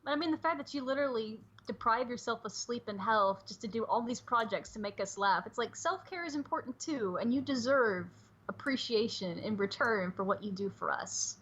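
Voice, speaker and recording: A woman's voice: a little high, lively intonation, moderate pace, few pauses, fairly bright tone, breathy edge. A woman chatting casually and earnestly as a guest on a livestream, over dull, lo-fi call audio. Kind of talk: casual chat.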